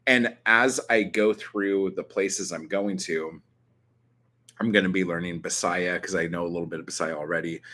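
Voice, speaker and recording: A man's voice: low-pitched, a bit bright. A young man from Southern California with that accent, chatting casually in a vlog, rambling with plenty of ums and likes and the odd swear. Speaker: channel host answering viewer questions.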